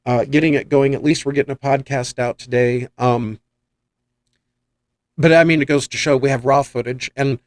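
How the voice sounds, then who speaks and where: A man's voice: mid-range voice, no echo. Man chatting enthusiastically, dry close sound. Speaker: podcast host and paranormal investigator. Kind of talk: casual chat, telling anecdotes.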